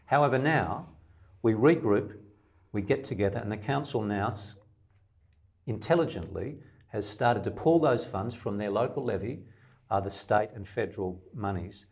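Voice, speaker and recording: A man's voice: lowish voice, lively intonation, normal speed, darkish tone. An Australian man, a middle-aged independent candidate, answering interview questions emphatically in long lists, with some stammered restarts.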